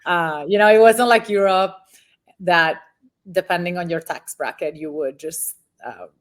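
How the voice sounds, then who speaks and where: Low voiced woman from venezuela, ex pro player, rambly casual interview talk. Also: measured pace. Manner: rambling, frequent ums and restarts. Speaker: podcast guest, former pro volleyball player.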